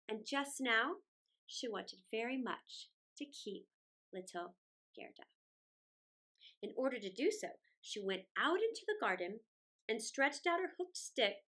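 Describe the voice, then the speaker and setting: A middle-aged woman tells a children's story in a high, expressive voice with a creaky edge. Her intonation swoops, and she phrases deliberately, stretching words for drama. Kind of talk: expressive read-aloud storytelling. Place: small indoor room, plain wall.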